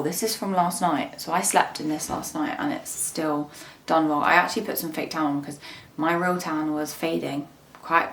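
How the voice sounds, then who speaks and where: Gravelly-voiced young woman vlogging. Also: lowish voice. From England. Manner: chatty and rambling, many fillers like "like". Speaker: lifestyle vlogger. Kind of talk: casual vlog chat to camera. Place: at home and in her garden. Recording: roomy echo with faint ambient noise.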